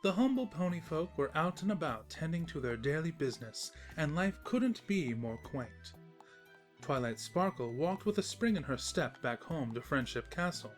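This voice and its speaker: A woman with a low voice narrates a comic story. Her intonation is lively and wry, and music plays behind her. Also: normal speed. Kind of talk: scripted comedic storybook narration.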